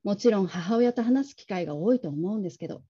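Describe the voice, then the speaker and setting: Caring woman manager actress, radio drama, courteous, very mellow, mid-pitched, slightly dull sound. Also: expressive, husky. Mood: confiding, considerate. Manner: gentle, measured, courteous. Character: polite, caring, discreet female call-centre manager.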